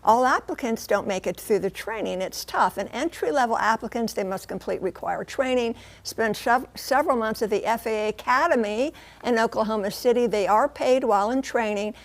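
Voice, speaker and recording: An American woman reporting and explaining the news on television, with emphatic, deliberate phrasing. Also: a little high.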